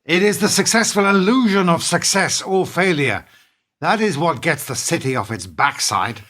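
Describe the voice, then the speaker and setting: A man acting in a radio play as a bitter, principled business partner, speaking in a measured, sardonic, needling way. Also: on the high side, lively intonation, bright, forceful tone. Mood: sardonic, accusing.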